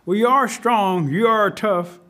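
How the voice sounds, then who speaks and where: A man voicing a crafty, eager little trickster rabbit in an oral folktale, with a coaxing, animated manner. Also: high-pitched, a little slow, pitched above the performer's own voice. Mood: sly.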